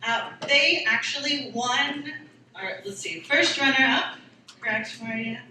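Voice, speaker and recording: Bright, higher-voiced woman presenter, conversational, heard far off in an echoey hall. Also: unhurried. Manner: conversational, with fillers. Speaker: school district presenter. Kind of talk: public presentation.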